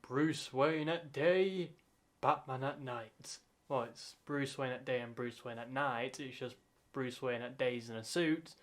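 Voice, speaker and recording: A young man gives loose commentary as he reacts to a clip, often stopping to restart or correct himself. He talks at an unhurried, drawn-out pace, and his pitch sits in the middle. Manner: rambling, halting, self-correcting asides. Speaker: reaction video host.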